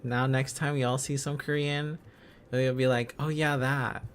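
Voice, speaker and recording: Slow-paced man chatting casually on a livestream. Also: mid-range voice, flat delivery, slight background noise. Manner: giggly, enthusiastic, rambling. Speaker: livestream host. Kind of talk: casual livestream chat while learning Korean script.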